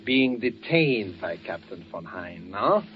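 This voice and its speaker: An actor playing a smooth, sly German officer who probes his companion in a 1940s radio drama. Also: fairly low pitch, sing-song, slow pace, a little hiss or hum. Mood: insinuating. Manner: insinuating, probing, mock-friendly. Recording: muffled 1940s radio broadcast.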